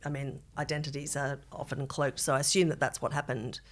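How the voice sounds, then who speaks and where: A woman's voice: very deep voice, flat delivery, brisk. A middle-aged woman co-hosting a podcast, speaking conversationally and seriously, often qualifying herself with asides. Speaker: podcast co-host.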